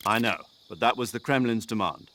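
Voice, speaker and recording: An actor playing a talkative military commander giving a mission briefing over the radio in a game cutscene. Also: male voice, on the low side, brisk, sharp tone, slight background noise. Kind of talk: video game cutscene acting.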